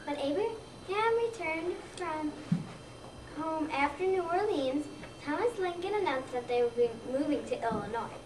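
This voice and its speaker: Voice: sits high, expressive, measured pace. A young child of about seven or eight, a second grader, reading a scripted narration aloud for readers theater. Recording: echoey room, distant mic, noisy background.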